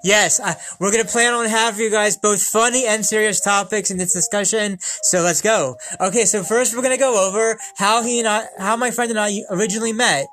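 High-voiced American man hosting a video call. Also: steady tone, fairly bright tone, faint music behind, low-quality recording. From United States. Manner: slow, deliberate, repeats himself. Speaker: host. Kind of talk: casual chat over video call.

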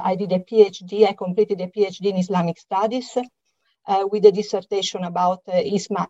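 An older woman with an Italian accent, a guest author answering interview questions with many pauses and fillers. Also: medium pitch, warm tone. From Italy. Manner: hesitant, many ums and uhs. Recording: slightly dull, lo-fi sound.

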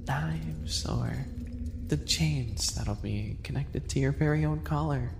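A man acts out a smug, sadistic animal-boy maid for an ASMR roleplay. His delivery is hushed, teasing and very unhurried, with a creaky fry. It rings with long reverb over noisy ambience. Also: medium pitch. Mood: smug, teasing menace. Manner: condescending, teasing, hushed and drawn out. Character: sly, smug, sadistic male animal-person maid yandere. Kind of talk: roleplay ASMR audio acting.

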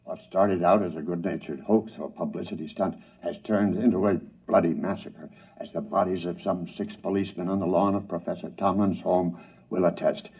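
An actor playing a grave, measured newscaster delivering dramatic bulletins in an old-time radio drama. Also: male voice, low voice, fairly even intonation. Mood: grave, urgent. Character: grave male radio newscaster reporting disaster. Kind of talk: 1950s-style radio news announcing in a drama. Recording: muffled, distant vintage radio, husky sound.

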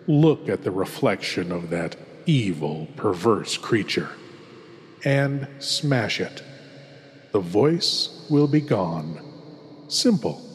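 Male horror host, mid pitch, husky, theatrical and deliberate, spooky showman delivery. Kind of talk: theatrical scripted narration.

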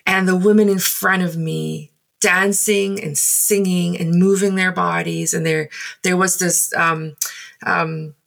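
A woman in her forties with a South African accent and Afrikaans as her mother tongue recalls her past in English. Her voice sits on the low side with a lot of vocal fry. She speaks reflectively, stopping often and filling gaps with "um.". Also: fairly even intonation, fairly bright tone. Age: 40s. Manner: thoughtful, halting, many pauses and fillers. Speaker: guest. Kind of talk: reflective personal storytelling.

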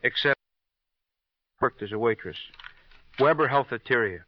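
Actor as rambling radio cop, dull vintage sound. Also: male voice, mid-range voice, unhurried, lightly acted. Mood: plodding, earnest. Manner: rambling, hesitant, folksy. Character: chatty, plodding, good-natured male police officer. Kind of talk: 1950s radio crime drama acting. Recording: muffled old radio recording.

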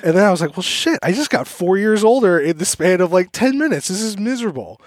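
A man's voice: high voice, quick pace, dry recording, clean studio sound. A man in his thirties chatting casually on a podcast, joking and laughing as he tells stories. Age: 30s. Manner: joking, laughs often, animated storytelling. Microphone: studio microphone.

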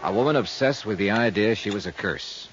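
Man acting as cool american insurance investigator, 50s radio serial, lowish voice, muffled old recording. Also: a little fast, music in the background, lightly acted. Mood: brisk, businesslike. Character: cool, steady male insurance investigator narrating his case. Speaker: lead actor and narrator of a radio detective serial. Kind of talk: 1950s radio drama acting.

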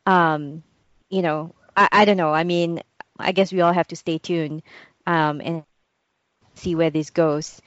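A woman's voice: low voice, steady tone, a little slow, pauses often, a bit bright, no echo. A woman from Singapore, co-hosting a casual chat, hesitant and full of restarts. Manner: hesitant, many restarts and fillers. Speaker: podcast co-host. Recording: dull lo-fi dry sound.

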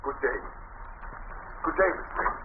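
An actor playing an earnest, authoritative church rector in an old radio drama, speaking formally on a narrow, hissy recording. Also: male voice, a little slow, close to an everyday voice. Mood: grave, earnest.

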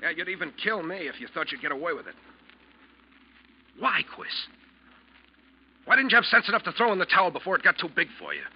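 Husky, accusing ship's officer, old radio. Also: male voice, high-pitched, big pitch swings, a little fast, pauses often, exaggerated voice. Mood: bitter anger. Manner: probing, sarcastic, insistent. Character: accusing, sardonic male ship's officer confronting his captain. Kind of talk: old-time radio drama acting. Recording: muffled vintage radio drama recording.

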